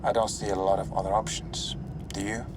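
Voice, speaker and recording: An actor playing a dry, sardonic veteran operative giving a mission briefing in a video game, wry and understated. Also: male voice, lowish voice, flat delivery, a little fast, noisy background, lightly acted. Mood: wry, exasperated. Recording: music playing behind the voice.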